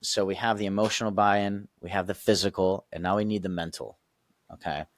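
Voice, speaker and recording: A man's voice: low-pitched, steady tone, fairly quick, no room sound, clean studio sound. A man on a podcast as a guest coach, explaining his method casually and emphatically, checking in with the listeners as he goes and laughing easily.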